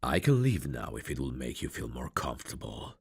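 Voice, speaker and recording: Very deep, gravelly mafia bridegroom acted by a man. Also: big pitch swings, fairly quick, dry recording, clean studio sound, exaggerated voice. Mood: tender, reserved. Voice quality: husky, gravelly. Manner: soft-spoken, gentle, flirtatious. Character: courteous, tender mafia bridegroom in arranged marriage. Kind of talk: intimate ASMR romance roleplay acting.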